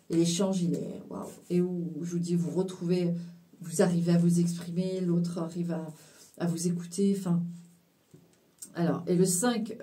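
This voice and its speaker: A woman giving a casual spiritual tarot reading to her viewers, halting and full of fillers and restarts, now and then slipping in English phrases. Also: on the low side, mellow, recorded from a distance. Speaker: tarot card reader.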